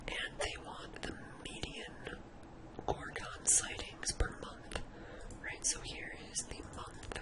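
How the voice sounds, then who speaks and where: A person of indistinct sex whispering a breathy, informal ASMR spreadsheet tutorial, thinking aloud step by step. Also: very high-pitched, rough recording. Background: computer keyboard typing.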